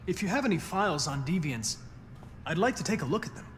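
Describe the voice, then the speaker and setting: Polite, lively android detective. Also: male voice, high voice, long reverb tail, slight background noise. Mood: businesslike. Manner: polite, formal, measured wording. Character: polite, eager male android detective partner. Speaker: police investigator. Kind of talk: video game acting.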